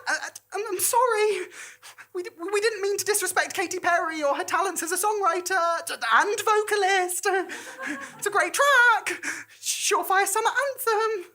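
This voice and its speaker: A woman's voice: extremely high pitch, normal speed, pushed and bright, pitched above the performer's own voice. A woman voicing a terrified, wounded young woman, pleading and stammering with a choked, tearful voice in a staged story. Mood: desperate backtracking.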